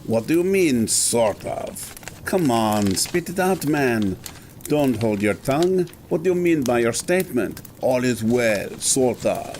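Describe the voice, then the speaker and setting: An actor plays an imperious man who runs a learned academy in an audio drama. He is formal, haughty and stern, with a mid-pitched voice. There is some noise behind him. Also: animated, unhurried, darkish tone, close to an everyday voice. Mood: impatient. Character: pompous, evasive, imperious scholar in charge of an academy.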